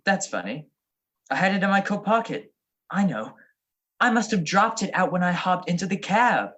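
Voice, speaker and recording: A woman's voice: lowish voice, animated, normal speed, fairly bright tone. A woman playing a breezy, evasive married male detective in a radio play, wry and slangy. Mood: defensive. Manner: wry, slangy, deflecting questions.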